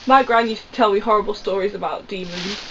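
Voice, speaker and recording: A woman's voice: mid-range voice, fast, slight background noise, natural voice. Jenny, a woman playing a curious, skeptical companion. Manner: questioning, lightly sarcastic. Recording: lo-fi fan audio drama.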